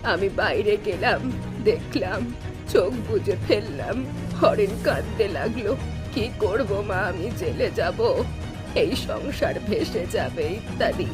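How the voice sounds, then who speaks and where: A woman voicing a sorrowful ageing mother-in-law in a cartoon drama, speaking in a slow, weary, heavy manner. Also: medium pitch, lively intonation, music in the background. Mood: guilt-laden. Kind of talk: Bengali cartoon melodrama voice acting.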